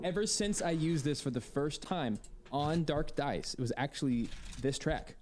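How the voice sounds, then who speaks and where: A man's voice: a little high, moderate pace. A man telling stories casually and enthusiastically, as a musician in an interview. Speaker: composer and violinist being interviewed. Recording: lightly noisy playback with clicks.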